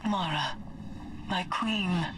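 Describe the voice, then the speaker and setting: Slow, low-voiced solemn fallen warrior woman. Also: far from the mic, background noise. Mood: solemn, tender. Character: solemn fallen female warrior bidding farewell. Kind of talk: dramatic game monologue.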